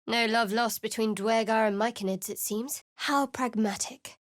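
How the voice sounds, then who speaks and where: A woman's voice: on the high side, fairly even intonation, moderate pace, dry recording, natural voice. A woman voicing a guarded, sardonic young cleric adventurer in a video game, speaking dryly and warily with a cutting edge. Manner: dry, wary, cutting.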